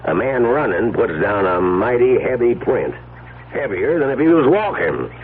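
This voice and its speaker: An actor playing a gruff, suspicious rural lawman in a radio drama, with folksy, laconic talk. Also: medium pitch, big pitch swings, moderate pace, close to an everyday voice. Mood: wary, pondering. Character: gruff rural lawman, suspicious, stubborn, slow-reasoning man. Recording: tinny band-limited radio, faint hiss.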